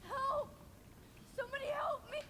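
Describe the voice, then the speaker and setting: An actress playing a frightened but determined captive teenage girl in a video game, breathy and distressed. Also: very high voice, fairly even intonation, normal speed, background noise. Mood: panicked. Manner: breathy, distressed, often near a whisper.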